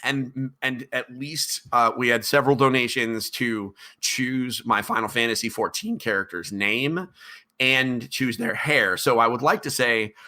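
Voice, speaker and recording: Man, middle-aged, podcast host, casual chat, rambling, lots of ums, bright voice. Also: medium pitch, a little slow. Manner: rambling, with many ums and restarts.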